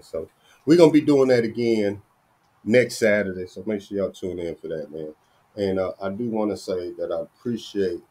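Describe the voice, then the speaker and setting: A middle-aged man from the Dallas area hosts an entertainment news stream from a small room, speaking through a webcam mic with headphones on. His voice sits a little low, and his delivery is relaxed and conversational, full of "man" and "you know what I'm saying.". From Dallas–Fort Worth area, Texas. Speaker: host of entertainment news channel. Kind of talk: casual news chat to viewers.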